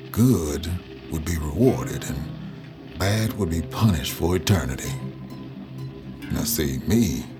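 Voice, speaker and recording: Very deep, brooding Southern narrator. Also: male voice. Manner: brooding, measured. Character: cynical, reflective man narrating a bitter past. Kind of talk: first-person dramatic narration.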